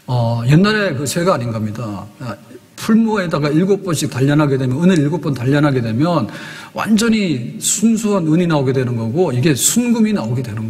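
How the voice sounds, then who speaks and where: A man preaching a Sunday sermon as pastor, emphatic and often asking the congregation questions. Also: fairly high pitch. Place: church sanctuary. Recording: long church reverb.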